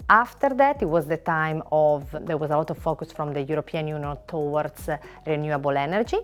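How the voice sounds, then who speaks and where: A middle-aged woman from Italy with an Italian accent, a finance lawyer answering in a measured way, listing items with frequent pauses. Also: deep voice, faint music behind. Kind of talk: panel interview answer.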